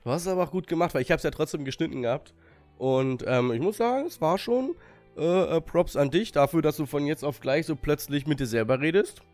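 A slightly hoarse young man co-hosting a podcast in casual chat, relaxed, with fillers and short pauses. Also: fairly high pitch, faint music behind. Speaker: podcast co-host.

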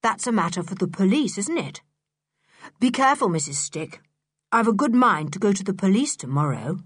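A woman's voice: medium pitch, sing-song, moderate pace, husky, dry recording, studio quality. A woman voicing a bold English boy with exaggerated politeness and a cool, teasing, self-assured cheek. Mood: coolly taunting.

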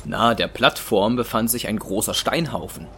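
A man's voice: lowish voice, moderate pace, creaky, bright, forceful tone, a little hiss or hum. A man reading scripted narration as the storyteller of a German audio drama.

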